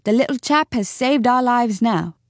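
Woman doing a grateful family man, storybook, warm, relieved, raised voice, dry sound. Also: on the high side, lively intonation, normal speed, dry recording, voice pushed up. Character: grateful grown man, father of the house.

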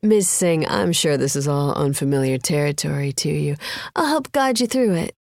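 An accommodating, businesslike casino manager played by a woman narrator, speaking low for a female voice. He is formal, measured and polite, in a dry, close audiobook recording. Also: expressive, creaky, darkish tone, no echo. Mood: courteous.

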